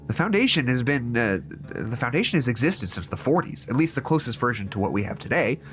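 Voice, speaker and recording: Fiction podcast role: disillusioned male therapist, mid-pitched voice, halting stammered monologue, faint music underneath. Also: big pitch swings, fairly bright tone. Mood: hesitant, resigned. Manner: halting, hesitant, stammering repeats. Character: troubled, disillusioned male therapist reasoning aloud. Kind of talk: audio drama monologue.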